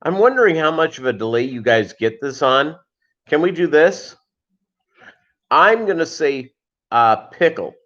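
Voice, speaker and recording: A man's voice: on the high side, expressive, a little slow, long pauses, fairly bright tone. Rambling man livestreamer, dull lo-fi sound. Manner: rambling, reads and answers viewer comments aloud. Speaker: livestream host of a fishkeeping channel. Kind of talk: casual live chat with viewers.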